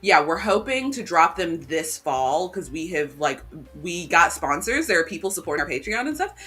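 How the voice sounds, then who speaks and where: Rambling, chatty woman co-host, bright voice. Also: fairly low pitch, sing-song, moderate pace, breathy edge. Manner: rambling, chatty, many ums and restarts. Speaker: livestream co-host. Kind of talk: casual live chat. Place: cool room at home. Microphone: home desk microphone.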